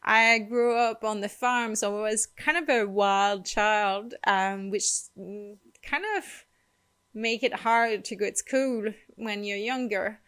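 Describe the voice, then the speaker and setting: Woman host, French-Canadian accent, unhurried delivery with frequent ums and light laughs. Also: medium pitch, steady tone, fairly bright tone, smooth. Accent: Quebecois French. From Quebec, Canada. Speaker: channel host. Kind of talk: personal vlog storytelling.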